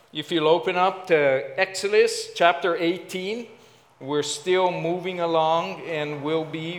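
A man from Hawaii with a Hawaiian accent, filling in as guest preacher and giving a casual, halting sermon. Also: on the high side, fairly bright tone. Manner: casual, self-deprecating, halting with fillers.

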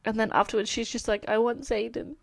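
A Scottish woman co-hosting a casual book chat, playful and quick to giggle. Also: on the high side, steady tone, gravelly, no echo. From Scotland. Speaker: book podcast co-host.

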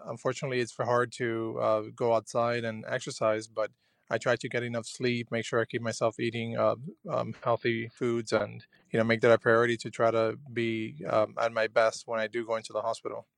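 A young man from Cuba talks earnestly and haltingly, stopping and starting often. His voice stays level and even, with little rise and fall. He sounds close to the mic in a dry, dead room. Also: medium pitch, creaky, no room sound. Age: young adult. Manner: hesitant and earnest, many fillers and restarts. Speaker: junior physician. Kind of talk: personal radio diary.

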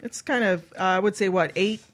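A woman's voice: mid-range voice, a little fast. A woman hosting a radio show, chatting casually and bawdily in a frank, teasing way. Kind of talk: bawdy casual panel chat.

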